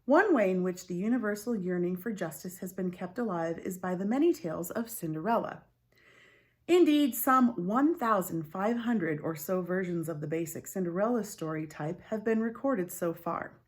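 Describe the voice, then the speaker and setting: A woman reading a story aloud like a teacher, expressive and clearly phrased, with informal asides. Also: on the low side, moderate pace.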